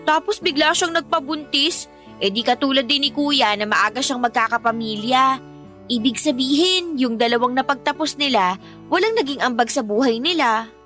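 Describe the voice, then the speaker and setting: Radio drama hard-up Filipina teenage working girl, candid emphatic talker, highish voice, music underneath. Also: animated, moderate pace, a bit bright. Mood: aggrieved. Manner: conversational, emphatic storytelling. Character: eighteen-year-old working girl, candid, worn by family hardship. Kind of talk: Tagalog radio drama acting. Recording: music bed under the dialogue.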